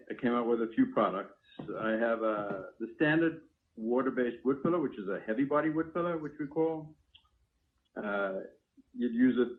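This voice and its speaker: A man informally explaining his products on a live call, in a technical, step-by-step way, as their inventor. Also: medium pitch. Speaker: product inventor and company founder. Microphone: phone call. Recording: narrow tinny phone line.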